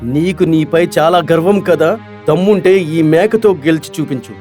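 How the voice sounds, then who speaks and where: Male actor as animated lion king: proud, declamatory, earnest, moderately high pitch, faint musical bed. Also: normal speed, faint music behind, lightly acted. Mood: scornful challenge. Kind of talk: animated fable dialogue.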